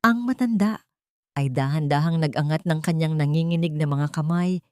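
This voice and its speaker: A woman storyteller with a low voice and a close, dry sound. She narrates a scripted drama in a solemn, emotive manner. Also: darkish tone, dry recording.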